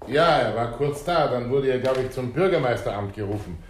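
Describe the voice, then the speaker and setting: A man's voice: mid-range voice, lively intonation, brisk, close to an everyday voice. Man acting worried hotel keeper, echoey room. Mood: dutiful. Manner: deferential, reporting. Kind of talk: 1960s German radio crime drama acting.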